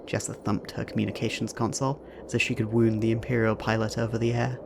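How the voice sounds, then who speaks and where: A man delivers scripted dramatic narration for an audio drama. His voice sits low, with heavy vocal fry. There is clear noisy ambience behind him. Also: level tone, fast.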